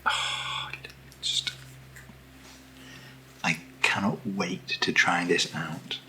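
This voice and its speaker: A British man speaking in a soft, breathy near-whisper as he hosts a casual ASMR video, gentle and unhurried, close to the microphone. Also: sits low, fairly even intonation, slight background noise, low-quality recording. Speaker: ASMR video host reviewing camera gear. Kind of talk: soft-spoken ASMR, casual unboxing chat.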